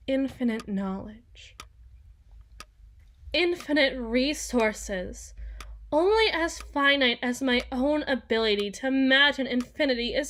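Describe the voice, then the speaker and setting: Woman playing a slow-drawling, seductive demon. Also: high-pitched, fairly bright tone. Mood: scornful, incredulous. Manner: teasing, condescending, theatrical drawl. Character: seductive, sadistic female demon bargaining for souls. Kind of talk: ASMR roleplay monologue.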